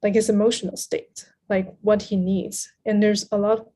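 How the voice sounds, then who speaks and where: Female podcast guest, mid-pitched and mellow, reflective literary conversation, thoughtful, searches for words. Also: little pitch movement, moderate pace. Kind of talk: reflective book discussion.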